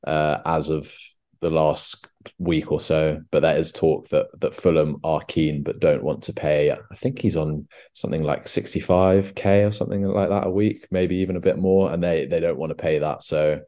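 A young man with an extremely deep, low voice hosts a relaxed football podcast. He rambles through long points, full of ums, restarts and asides. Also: moderate pace. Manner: rambling, many restarts and fillers. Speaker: podcast host. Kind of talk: casual football podcast chat.

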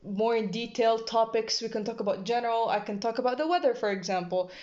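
A woman's voice: fairly high pitch, a little mellow. A teenage girl co-hosting a casual podcast chat, gushing and giggly, a native Arabic speaker talking in English. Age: teens. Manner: giggly, gushing, quick bursts. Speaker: podcast co-host. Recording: dull lo-fi audio.

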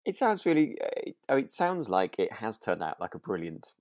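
A man's voice: lowish voice, big pitch swings, fairly quick, a little mellow, no echo, clean studio sound. A man co-hosting a podcast, recapping a radio drama in casual chat, often rephrasing himself and readily amused. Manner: hesitant, often rephrasing and repeating words. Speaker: podcast co-host.

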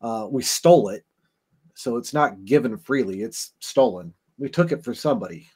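A man's voice: medium pitch, expressive, normal speed, darkish tone, slightly rough. A man appearing as a guest on a casual podcast, rambling and hesitant as he explains his theories. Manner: rambling and hesitant, many fillers and restarts. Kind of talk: casual discussion of game lore.

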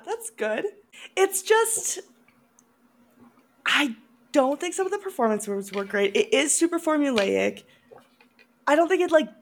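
A woman co-hosting a casual film review chat, often breaking into giggles as she talks. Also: high-pitched. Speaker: podcast co-host.

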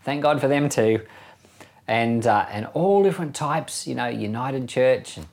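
A man's voice: medium pitch, measured pace, gravelly, darkish tone. A man who is a pastor giving informal Bible commentary in a conversational, enthusiastic way, posing rhetorical questions. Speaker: pastor teaching a Bible podcast.

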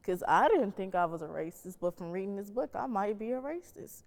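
A woman's voice: medium pitch, lively intonation, a little fast, a little mellow. Female student in a reverberant hall. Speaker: student panelist. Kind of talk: casual discussion answer.

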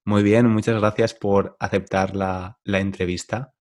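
A man hosting a podcast for learners, speaking in a clear, measured way. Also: fairly low pitch, little pitch movement. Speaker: podcast host and Spanish coach.

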